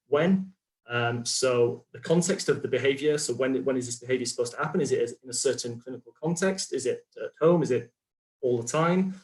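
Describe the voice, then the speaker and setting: A man's voice: medium pitch, a little mellow. A man from the UK with a British accent, a postdoctoral researcher giving a conference presentation over a video call, explaining with frequent ums and uhs. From United Kingdom.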